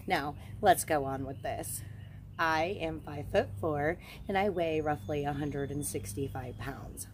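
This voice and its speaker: A woman's voice: deep voice, measured pace, slight background noise. A woman in her forties talking frankly and casually to a handheld phone camera outdoors in the woods. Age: 40s. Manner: frank, relaxed, chatty. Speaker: witchcraft channel host. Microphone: handheld phone camera at arm's length.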